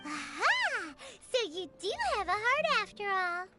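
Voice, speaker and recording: A tiny bubbly fairy companion with a sky-high voice that bounces all over the place. She is chirpy, dramatic and teasing. Loud game music plays underneath. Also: very animated, unhurried, full character voice. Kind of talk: video game voice acting.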